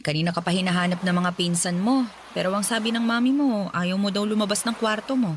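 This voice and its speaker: A woman playing a caring, devout aunt in a radio drama, asking and coaxing gently. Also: medium pitch, quick pace, slight background noise, close to an everyday voice. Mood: concerned. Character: concerned, devout aunt of a sick young woman. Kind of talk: Filipino radio drama dialogue.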